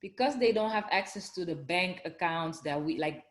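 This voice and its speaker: A woman from the Netherlands with a Dutch accent, hosting a podcast in a chatty, animated way with many fillers and frequent laughs. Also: fairly low pitch, normal speed. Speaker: podcast host. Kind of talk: casual conversational chat. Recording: echoey room.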